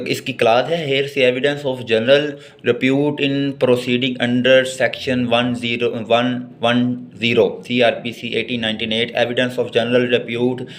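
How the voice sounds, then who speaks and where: Slow-paced Pakistani man giving a lecture. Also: mid-range voice. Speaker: law lecturer. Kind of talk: lecture, reading English text aloud and explaining it in Urdu.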